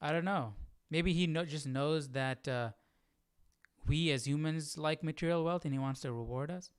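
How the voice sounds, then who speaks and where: A man's voice: a little high, normal speed, clean tone, no echo, clean studio sound. A man hosting a live Bible study stream, thinking aloud in casual, reflective commentary and checking in with listeners. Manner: thinking aloud, often asking "right?".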